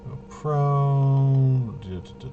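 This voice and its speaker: A man's voice: medium pitch, drawn-out words, warm tone, muffled recording, slight background noise. A man casually thinking aloud as he plays a tabletop roleplaying game, hesitant and muttering to himself while he checks rules. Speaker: solo tabletop RPG player demonstrating gameplay.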